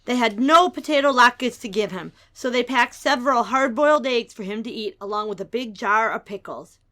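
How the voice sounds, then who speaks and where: Bright-voiced woman narrating a storybook. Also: fairly high pitch, moderate pace. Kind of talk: scripted dramatic storybook narration.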